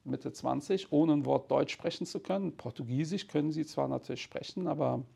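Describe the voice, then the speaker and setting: A man's voice: fairly high pitch, fairly quick, vocal fry, dark tone, husky. A man, telling stories casually and candidly in colloquial language. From Cologne, Germany. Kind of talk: casual conversational storytelling.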